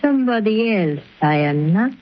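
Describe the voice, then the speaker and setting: A woman playing a cool, threatening exotic dancer villainess with formal, deliberate phrasing, in 1930s radio drama style. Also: mid-range voice, animated, close to an everyday voice. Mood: confident, scheming. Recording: muffled 1930s radio recording.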